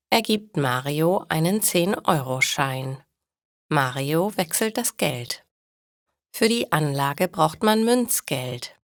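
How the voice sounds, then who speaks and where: A woman narrating a scripted story for language learners in a careful, measured read-aloud manner. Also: sits low, no echo, studio quality. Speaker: narrator of stories for German learners.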